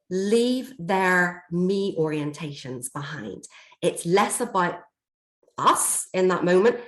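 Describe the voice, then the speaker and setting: Woman trainer, slightly hoarse, lowish voice, echoey room on a video call, steady explanatory delivery. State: slightly hoarse, coughing from a chest infection. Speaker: learning and development trainer. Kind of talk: online workshop presentation. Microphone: computer mic on a video call.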